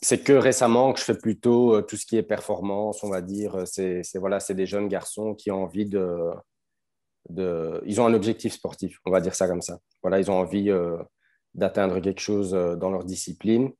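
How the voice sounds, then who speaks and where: Guest coach, Belgian accent, deep and warm, easygoing with lots of pauses. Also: male voice. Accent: Belgian French. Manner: relaxed, hesitant, many fillers and restarts. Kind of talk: casual podcast conversation.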